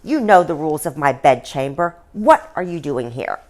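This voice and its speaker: An imperious elderly queen from a satirical fairy tale, as a woman storyteller puts her on. She is sharp and wry, and her lines swing widely in pitch. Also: very animated, normal speed. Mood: sharp, startled. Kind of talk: storybook dialogue reading.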